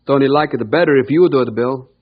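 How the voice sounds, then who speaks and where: An actor playing a proud, emphatic immigrant shopkeeper who speaks broken English with a foreign accent in a radio drama. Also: male voice, medium pitch, fast, lightly acted. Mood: earnest, forgiving. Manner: broken English, emphatic, refers to self by name. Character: proud, good-hearted but touchy immigrant storekeeper. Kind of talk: old-time radio drama acting. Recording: muffled old radio broadcast recording.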